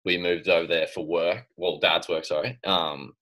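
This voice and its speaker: A man's voice: deep voice, steady tone, moderate pace, sharp tone. A young Australian man on a video call from his bedroom, chatting casually with lots of fillers and easy laughs. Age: young adult. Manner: laid-back, laughs often, trails off with fillers. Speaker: guest swimmer. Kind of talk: casual chat. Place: bedroom at home. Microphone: laptop webcam on video call.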